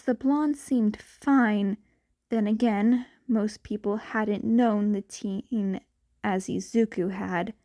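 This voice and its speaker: A woman narrating fan fiction as an amateur audiobook reader, in an expressive scripted style. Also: medium pitch.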